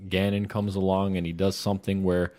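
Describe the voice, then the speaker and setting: A man with a low-pitched voice chats as a podcast co-host. His delivery is informal and conversational, like an easy discussion among co-hosts. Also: little pitch movement, a little fast, darkish tone. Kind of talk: casual chat discussing video game movies.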